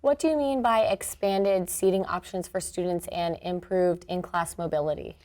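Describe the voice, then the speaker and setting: A woman's voice: on the low side, moderate pace, darkish tone. A woman with an American accent playing a teacher who reads curious, plain questions in a scripted dialogue.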